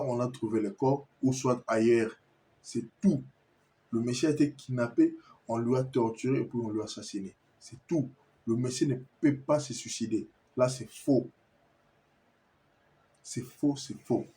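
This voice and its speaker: Congolese French man, mellow and warm tone, insistent and repetitive, stumbles over words. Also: medium pitch. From Kinshasa, Congo. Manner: insistent, repetitive, stammers when searching for words. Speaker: commentator addressing viewers. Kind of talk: impassioned video monologue.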